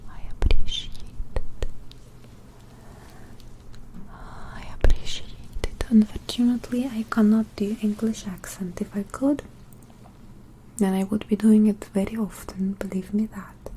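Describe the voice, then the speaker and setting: A woman with a Russian accent hosting an ASMR livestream in a soft, breathy whisper, speaking deliberately and repeating phrases. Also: mid-range voice, little pitch movement, rough recording.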